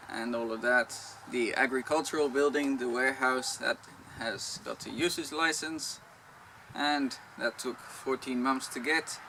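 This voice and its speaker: A man's voice: mid-range voice, pushed and bright. A man with a Dutch accent answering viewer questions in a casual vlog, explaining things in a plain, matter-of-fact way from outdoors on his land. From Netherlands. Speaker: vlogger. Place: outdoors on a rural property, wind and traffic. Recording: noisy outdoor recording with wind and traffic. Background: wind and road traffic.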